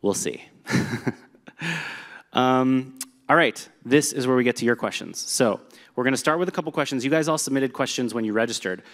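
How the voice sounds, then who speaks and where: A man's voice: medium pitch, normal speed, a bit bright. A man giving a live presentation to an audience, talking in an upbeat, conversational way with some fillers. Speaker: presenter at a company meetup. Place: large echoey hall with a live audience. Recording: long hall reverb.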